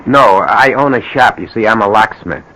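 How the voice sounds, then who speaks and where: An actor playing a desperate working-class American locksmith in an old-time radio drama, blunt and urgent. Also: male voice, fairly low pitch, normal speed, sharp tone, poor audio. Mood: desperate, pleading. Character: desperate working-class locksmith man begging for money, bitter.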